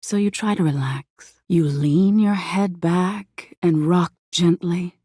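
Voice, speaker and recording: A woman playing an eerie, softly menacing embodiment of existential dread in a video game, narrating slowly in a hushed, breathy voice that slips into whispers. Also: lowish voice, steady tone, no echo, clean studio sound. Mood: ominous, hushed. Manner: slow hushed storytelling, lapsing into whispers.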